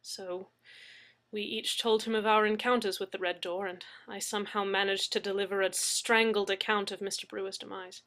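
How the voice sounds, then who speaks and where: Woman reader, steady storytelling voice, bright tone. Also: medium pitch. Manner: measured narration. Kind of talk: reading a serialized story aloud.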